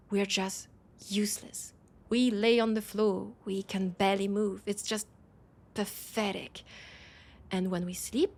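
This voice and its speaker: A woman with a French accent playing a proud, worried vampire of a supernatural council in an intimate audio roleplay, speaking in an earnest, halting way. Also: mid-range voice, darkish tone, husky.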